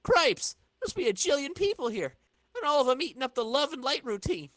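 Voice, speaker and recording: A man's voice: very high voice, very animated, brisk, a bit bright, no room sound, pitched above the performer's own voice, full character voice. A man voicing a slangy, keyed-up young male comic-book sidekick, exclaiming as he thinks aloud. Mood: urgent, incredulous.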